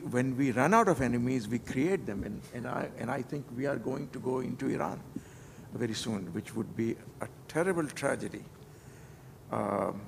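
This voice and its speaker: An older man from Pakistan, reflecting on his life at a conference panel, halting and full of repeated words and restarts. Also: medium pitch, creaky. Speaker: panel speaker. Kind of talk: unscripted personal reflection at a panel. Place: conference hall. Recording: long hall reverb with faint noise.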